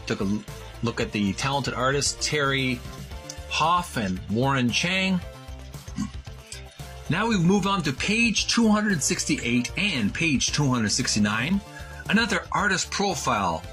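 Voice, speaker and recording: Slow, bright-voiced man with swooping pitch. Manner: deliberate, emphatic, broken phrasing when reading. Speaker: host of a video series on retro game art. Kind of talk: casual presenting and slow, halting read-aloud from a book.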